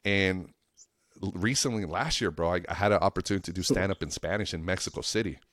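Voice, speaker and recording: Male podcast comic, low register, dry sound; slangy, sweary, earnest storyteller with a loose rambling flow. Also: fast talker, a bit bright, dry recording. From United States, Lake Tahoe and Reno area of Nevada. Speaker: stand-up comedian. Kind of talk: casual podcast chat, anecdotal.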